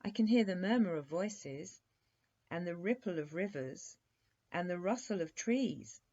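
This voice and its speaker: An eager, forthright young princess from a bedtime storybook, as a woman reader puts her on. She is direct and questioning, with a husky softness and a mellow tone. The recording is a little dull. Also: fairly low pitch, animated, a little slow. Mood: earnest.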